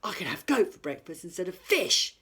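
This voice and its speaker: A woman voicing a hungry, simple-minded male troll who talks in a slow, plodding, self-satisfied way. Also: on the low side, very animated, a bit bright, husky, heavily acted.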